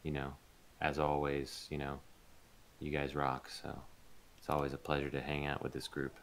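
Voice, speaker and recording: Male voice actor as interview guest, exceptionally low voice, flat intonation, informal chat. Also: normal speed, a little hiss or hum.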